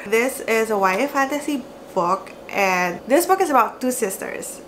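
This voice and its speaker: A woman's voice: mid-range voice, a bit bright, slight background noise, low-quality recording. Chatty Filipino woman vlogger. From Philippines. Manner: chatty and giggly, gestures a lot. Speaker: book vlogger. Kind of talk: casual to-camera book chat. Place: small cozy room indoors.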